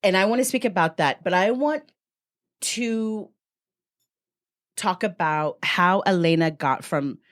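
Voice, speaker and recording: A woman hosting her own podcast, her voice on the low side. She talks in a playful, joking way, hyping up her guest and riffing on the conversation. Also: animated, a little slow, pauses often. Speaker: podcast host. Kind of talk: casual podcast chat. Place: podcast studio, seated beside the guest.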